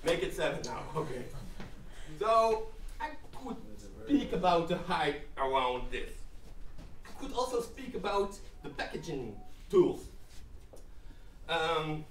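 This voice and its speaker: A man's voice: on the high side, very animated, slow pace, long pauses, distant mic, a little hiss or hum. A man giving a humorous, self-mocking keynote to a live audience in an event room, talking into a handheld mic. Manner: joking, self-mocking, playful asides. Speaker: keynote speaker. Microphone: handheld mic, filmed from the back of the room. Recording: echoey room recorded from afar.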